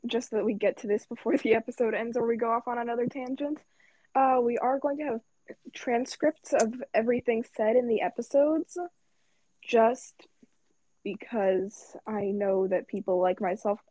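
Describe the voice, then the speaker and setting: A young woman co-hosting a podcast, relaxed and hesitant. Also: a little high, normal speed, dry recording, low-quality recording. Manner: relaxed, laughs often, hesitant. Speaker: podcast co-host. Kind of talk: casual chat.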